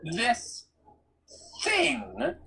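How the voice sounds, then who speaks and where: A woman reading an earnest, matter-of-fact young male satyr with a husky, creaky voice in a story. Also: mid-range voice, huge pitch swings, drawn-out words, full character voice. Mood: earnest, blunt.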